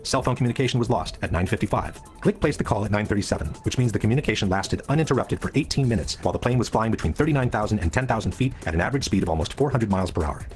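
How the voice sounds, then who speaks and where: Man, documentary narrator, very rapid sped-up delivery, lowish pitch, scripted expository reading, music underneath. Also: animated. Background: background music.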